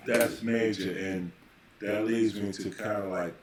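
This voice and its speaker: A man hosting a casual podcast interview, building long questions in halting, repeated phrases. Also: on the low side, fairly even intonation. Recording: very echoey room, faint background noise.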